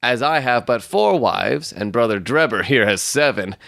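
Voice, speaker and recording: A man's voice: mid-range voice, animated, moderate pace, gravelly, a bit bright, dry recording, clean studio sound. A man voicing a pushy, self-righteous young suitor with a pompous, pious manner in an audio story. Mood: boastful. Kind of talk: literary audio story reading.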